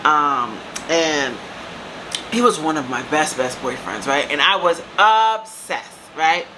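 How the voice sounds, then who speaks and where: A woman in her thirties with a deep voice, telling a casual storytime to her viewers and calling them baby and girl. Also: slow. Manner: chatty, calls viewers baby and girl, lively asides. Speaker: storytime vlogger. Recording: steady air-conditioner hum behind.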